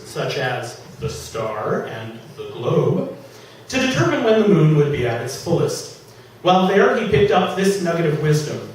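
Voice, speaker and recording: A man with a slightly high voice reads comic fantasy aloud as its author. His delivery is theatrical and emphatic. It is recorded from far off in a very echoey room, with faint noise behind. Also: a little mellow. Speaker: author reading from own novel. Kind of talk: live dramatic reading of comic fantasy fiction. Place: public reading at an indoor venue.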